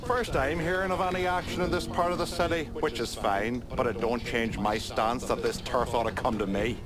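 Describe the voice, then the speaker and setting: A male actor as a rough, opportunistic Irish gangster in a game. The voice sits at a middle pitch with an Irish accent, over clear music. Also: moderate pace, natural voice. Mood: pushy. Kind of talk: video game cutscene acting.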